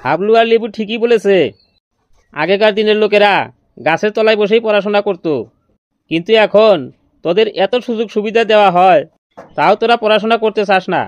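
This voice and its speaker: A man's voice: sits high, brisk, pauses often, smooth, no echo, muffled recording, natural voice. Guy doing a grumpy strict male schoolteacher in a funny cartoon, scolding, dry close sound. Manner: scolding, exasperated. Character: stern, hot-tempered male village schoolteacher, threatening pupils. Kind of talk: comedy cartoon dialogue.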